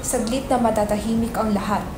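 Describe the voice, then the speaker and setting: A woman's voice: fairly high pitch, steady tone, fairly quick, background noise. A woman reading stage directions as scripted narration in a dramatic reading.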